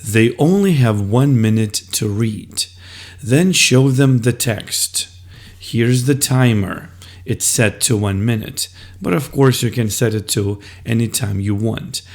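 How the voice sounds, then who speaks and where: A man explaining classroom methods as a teacher, in a deliberate, step-by-step instructional style. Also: medium pitch, a little mellow.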